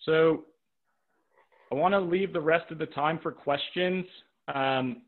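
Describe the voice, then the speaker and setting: A man's voice: fairly high pitch, slow. Man on a tinny webinar call. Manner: direct and practical, repeats phrases for emphasis; checks in with rhetorical "right?" and "okay?". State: voice tiring from talking all day. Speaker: private practice coach running a webinar. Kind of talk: live webinar teaching with audience questions. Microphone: video call microphone. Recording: narrow, tinny video-call audio.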